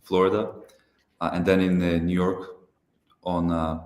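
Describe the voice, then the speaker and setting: Low-voiced young man, roomy call audio. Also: a little slow. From Turkey. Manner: relaxed, hesitant, many "uh" fillers. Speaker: guest, software founder. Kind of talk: casual interview over a live stream.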